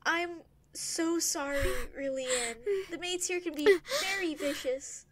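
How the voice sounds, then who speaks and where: Woman acting a high-voiced, feisty palace servant. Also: fairly even intonation, a little slow, a bit bright, dry recording. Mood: caring. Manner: sassy, emphatic, caring. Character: feisty, protective, motherly female palace servant. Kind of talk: audio drama acting.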